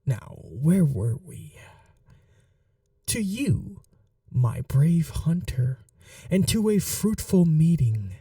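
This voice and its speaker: A man playing a sadistic, possessive vampire lord in an ASMR roleplay, speaking softly and breathily with courtly, teasing menace and dropping often into whispers. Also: a little high, big pitch swings, very slow, pauses often, no echo, studio quality, recorded from a distance, full character voice. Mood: suave, courtly. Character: sadistic, possessive male vampire lord, suave seductive villain. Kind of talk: ASMR roleplay audio drama.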